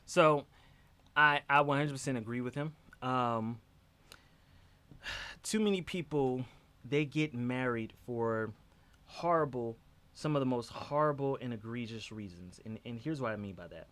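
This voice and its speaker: A man's voice: medium pitch, unhurried, fairly bright tone. A man hosting a livestream discussion, talking emphatically and repeating words for stress.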